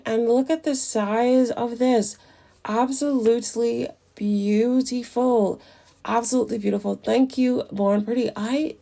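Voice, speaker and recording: Gravelly-voiced woman chatting through a nail tutorial. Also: a little high, warm tone. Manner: chatty, enthusiastic about products. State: voice recovering from flu. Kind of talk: casual tutorial narration.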